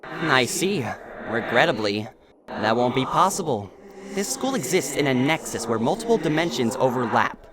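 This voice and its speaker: A performer acts out a bitter spirit in a dramatic game dub. It talks down to the listener with formal, menacing scorn and deep distrust. The recording has clear noise behind it. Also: sing-song, moderate pace, close to an everyday voice. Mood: coldly mocking. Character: bitter hostile ghost, taunting, distrustful of teachers.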